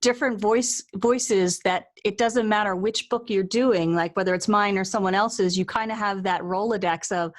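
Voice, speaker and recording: A woman's voice: medium pitch, steady tone, moderate pace, hardly any pauses. Chatty woman hosting a casual interview. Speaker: interview host and author.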